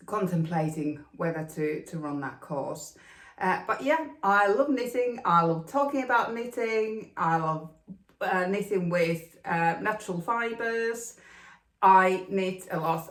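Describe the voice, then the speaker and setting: A woman from Finland hosting a knitting podcast, talking casually with lots of ums and an upbeat, chatty manner. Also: lowish voice. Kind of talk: casual chat to camera. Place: home room with plastic extension, rain outside. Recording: echoey room.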